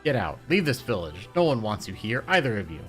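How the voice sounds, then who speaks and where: A man voicing a cruel village boy who leads a gang of bullies, with a high, loud, taunting delivery. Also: lively intonation, a little fast, soft background music, natural voice. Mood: furious.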